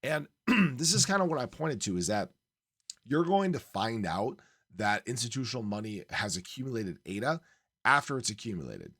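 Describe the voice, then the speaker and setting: A man hosting a crypto channel, giving upbeat, emphatic market commentary with a slightly hoarse voice. He keeps circling back with "again" and "right?". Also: mid-range voice, big pitch swings, normal speed, fairly bright tone, no echo, clean studio sound. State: slightly hoarse, losing his voice. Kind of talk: casual market commentary to camera.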